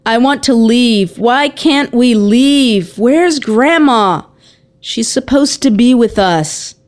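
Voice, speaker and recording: A woman's voice: mid-range voice, lively intonation, slow pace, a little mellow. A woman voicing a younger sister in a story, a worried, skeptical girl who questions bluntly. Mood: small, vulnerable.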